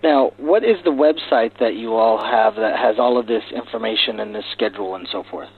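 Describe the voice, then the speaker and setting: A man's voice: on the low side, fairly quick, slightly rough, narrow, tinny audio. A man speaking as a podcast host, interviewing guests in a conversational way.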